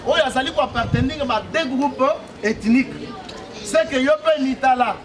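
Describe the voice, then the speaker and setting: A man's voice: very high-pitched, normal speed. A man from Congo giving an explanatory public address at an outdoor political meeting, checking that the crowd follows and leading chants. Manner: explanatory, repeatedly checks the crowd understands, leads call-and-response chants. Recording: distant mic, crowd heard behind. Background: crowd responding.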